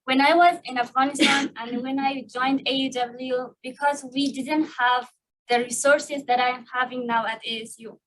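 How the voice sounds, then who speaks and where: A woman's voice: high voice, little pitch movement, moderate pace, a bit bright, rough recording. A young woman student answering on a panel, eager and direct.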